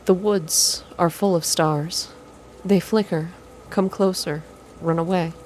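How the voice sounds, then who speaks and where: A woman reading a visual novel game aloud in an intimate ASMR style, soft and expressive as she hosts her playthrough. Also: lowish voice, takes it slowly, a little hiss or hum. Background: game music.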